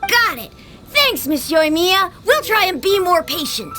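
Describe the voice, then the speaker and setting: A woman's voice: very high voice, sing-song, unhurried, full character voice. A woman voicing an eager, trusting little child with a small voice, in an anime game style. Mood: hesitant, obedient. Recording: music behind the voice.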